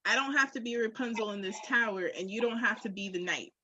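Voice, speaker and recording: A woman's voice: on the high side, fast talker, lo-fi sound, crowd noise. A woman chatting candidly and casually on a podcast, emphatic and slangy with punchy phrasing.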